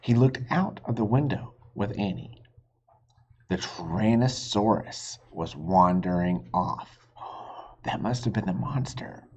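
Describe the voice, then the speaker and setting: A man's voice: lowish voice, takes it slowly, darkish tone, slightly rough. A man reading a children's storybook aloud expressively, like a teacher, on a slightly muffled recording. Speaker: reader, teacher.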